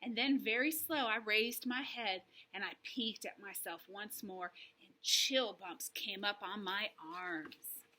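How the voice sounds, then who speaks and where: A woman voicing an excitable, mischievous little girl who narrates a children's storybook theatrically, in childlike grammar. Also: medium pitch, takes it slowly, poor audio. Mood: sneaky, hushed. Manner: theatrical, childlike grammar, dramatic shrieks and hushes. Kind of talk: animated children's storybook reading.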